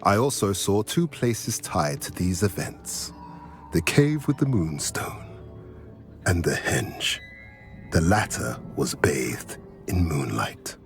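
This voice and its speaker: An actor voicing a solemn, wise centaur seer in a video game, speaking in a stately, formal, oracular way. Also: male voice, low voice, very animated, slow pace, faint music behind, exaggerated voice. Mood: solemn, prophetic. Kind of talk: dramatic video game cutscene acting.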